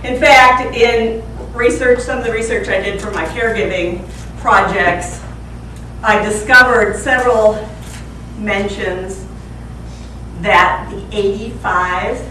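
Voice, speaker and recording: A woman's voice: mid-range voice, slow pace, sharp tone. Playful woman in her sixties, echoey room. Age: 60s. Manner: playful, teasing, quizzes the audience. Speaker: Toastmasters club speaker. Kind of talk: humorous prepared speech. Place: club meeting room. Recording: echoey room from far off, faint hiss.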